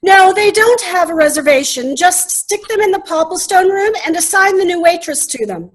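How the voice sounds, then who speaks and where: A woman playing a brisk, formally polite but exasperated restaurant hostess in a comic readers' theater sketch. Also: very high voice, lively intonation. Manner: brisk, formal customer-service phrasing.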